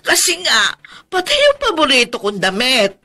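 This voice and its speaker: An actress playing a touchy, self-pitying older aunt in a radio drama, plaintive and reproachful. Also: female voice, high-pitched, huge pitch swings, normal speed, pushed and bright, exaggerated voice. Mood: indignant. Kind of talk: Filipino radio drama acting.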